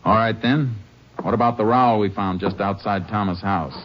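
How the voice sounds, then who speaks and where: A man acting a calm, methodical Texas Ranger investigator in an old radio drama, measured and matter-of-fact, with an American accent. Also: fairly low pitch, slight background noise, close to an everyday voice. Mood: firm, probing. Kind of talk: 1950s radio drama acting and first-person narration. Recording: muffled vintage radio recording, faint music.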